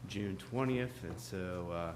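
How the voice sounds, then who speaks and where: A lifeguard supervisor addressing a town board in a friendly way, with frequent pauses and fillers. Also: male voice, low-pitched, reverberant, background noise. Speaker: ocean rescue supervisor. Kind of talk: short public address at a meeting.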